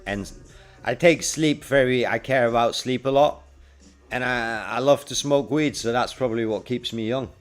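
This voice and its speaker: A man around forty streams live with music playing faintly behind him. He chats casually to his viewers, using plenty of slang and keeping an easygoing manner. His pitch sits in an ordinary middle range. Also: moderate pace. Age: 40s. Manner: laid-back, slangy. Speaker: livestreamer and freelance web developer. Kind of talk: casual livestream chat with viewers.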